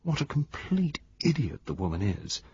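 Scornful young woman voiced by a male reader, muttered low and quiet through the teeth, husky, dull dry sound. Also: sing-song, unhurried, no room sound, exaggerated voice. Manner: murmured between the teeth, contemptuous.

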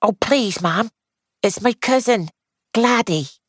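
A woman's voice: on the high side, animated, takes it slowly, no room sound, clean studio sound, voice pushed up. A woman voicing a young, anxious housemaid who rambles on in breathless, deferential gossip. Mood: fretful.

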